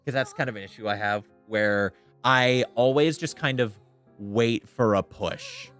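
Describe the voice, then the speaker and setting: Clear-voiced man chatting on stream. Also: mid-range voice, wildly swooping pitch, measured pace, a bit bright, faint music behind. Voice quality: clear voice without gravel. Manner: rambling, candid, swears freely. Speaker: VTuber streamer. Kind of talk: casual livestream chat.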